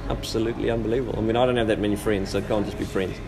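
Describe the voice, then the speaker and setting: A man's voice: lowish voice, fairly even intonation, very fast. A man co-hosting a podcast, chatty and joking, laughing often as he talks. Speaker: podcast co-host. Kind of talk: casual podcast chat.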